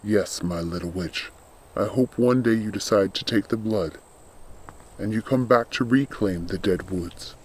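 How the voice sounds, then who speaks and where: A man playing a loyal undead skeletal servant in a storybook, speaking in a grave, earnest, measured and protective way. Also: lowish voice, slight background noise, natural voice. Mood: tender, weary.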